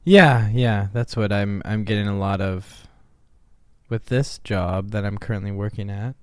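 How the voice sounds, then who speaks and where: A man co-hosting a podcast, talking in a relaxed, meandering way that often trails off. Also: lowish voice, a little slow, clear, resonant tone, dry recording, clean studio sound. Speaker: podcast co-host. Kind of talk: casual chat.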